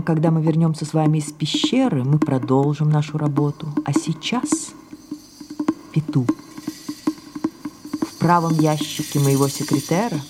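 Ageing grand actress over music. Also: female voice, deep voice. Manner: theatrical, declamatory, dramatic hushed passages. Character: self-absorbed ageing grand actress, imperious, wistful, theatrical. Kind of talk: audio play acting. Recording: music behind the voice.

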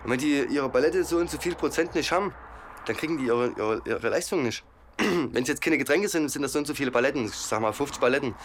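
A man's voice: medium pitch, animated, fast. A man explaining things casually and colloquially in an interview. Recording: faint vehicle and ambient noise.

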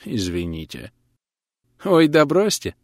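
A man voicing a young female doctor in an audiobook, polite, bashful and gently explanatory. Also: medium pitch, big pitch swings, brisk, no room sound, studio quality, voice pushed up, close to an everyday voice. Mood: friendly, smiling.

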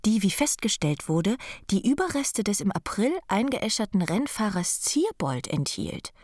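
A woman's voice: mid-range voice, big pitch swings, a little slow, darkish tone, no echo. A woman reading out news reports as a scripted bulletin within a radio play. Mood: matter-of-fact. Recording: dry, faint music behind. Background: faint music.

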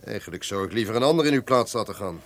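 An actor playing a commanding, courtly duke in a radio drama, speaking with formal authority. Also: male voice, lowish voice, lively intonation, racing, a bit bright. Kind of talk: Dutch radio drama acting. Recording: noisy old radio play recording.

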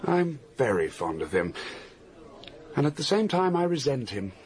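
An actor with an English accent playing an easygoing, cynical widower in a radio drama, frank and flippant in manner. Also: male voice, mid-range voice, sing-song, normal speed, darkish tone, natural voice. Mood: frank, resentful. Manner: flippant, candid, wry. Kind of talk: British radio drama acting.

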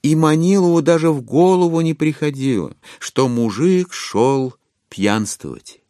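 A man playing a wry author-narrator in an audio drama, phrasing deliberately and expressively with irony. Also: on the high side, expressive, dark tone, no room sound, studio quality.

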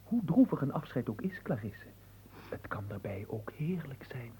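Male actor as lovelorn manservant, mellow, poetic, stammering, melodramatic, radio play, faint noise and hum. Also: mid-range voice, very animated, normal speed, close to an everyday voice. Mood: soothing, coaxing. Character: lovelorn young manservant, florid, evasive, theatrically sorrowful.

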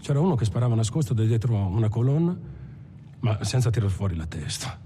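A man's voice: lowish voice, expressive, fast talker, mellow, slight background noise, natural voice. An actor playing a cold, scornful bank robber who matter-of-factly recalls his crimes in a film monologue. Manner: contemptuous, matter-of-fact. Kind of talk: dramatic first-person monologue in a film. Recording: music behind the voice. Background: music.

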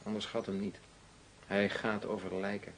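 A fairly low-voiced, serious colleague in a radio drama. He is blunt but concerned, probing and careful with his words. Clear ambient noise surrounds him. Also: flat delivery, moderate pace, a bit bright, rough recording. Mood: urgent warning. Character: concerned, blunt male colleague warning a friend.

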